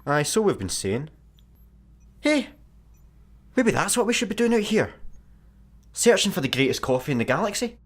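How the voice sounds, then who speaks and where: An actor with a Scottish accent playing a talkative, self-effacing young adventurer in a sci-fi comedy radio play. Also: male voice, high-pitched, very animated, normal speed, pauses often. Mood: chatty, apologetic. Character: chatty, awkward, hopeful young man adventurer.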